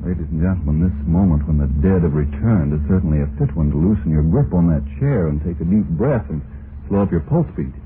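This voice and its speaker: A man's voice: deep voice, animated, fast talker. A man playing a grave horror show announcer, portentous and theatrical in the old-time radio manner. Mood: ominous. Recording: tinny, noisy vintage radio recording.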